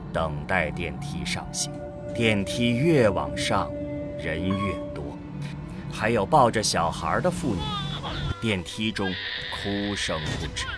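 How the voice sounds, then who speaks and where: Male narrator for scripted science fiction audio drama. Animated, expressive storytelling, faint music bed. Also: fairly low pitch.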